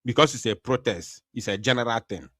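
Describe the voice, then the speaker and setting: A man hosts a call-in talk show from his computer, his mid-pitched voice dry and close with almost no room sound. He presses his points earnestly, circling back to repeat them and pausing on fillers as he gathers his thoughts. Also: a bit bright, dry recording. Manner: earnest, repeats himself, many hesitations. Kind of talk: live online talk show commentary. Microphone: computer mic over internet call.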